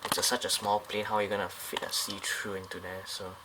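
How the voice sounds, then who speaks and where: A man's voice: fairly low pitch, flat delivery, fast talker, background noise. A man casually reviewing a scale model airliner up close, talking in a loose, rambling way with many fillers and restarts.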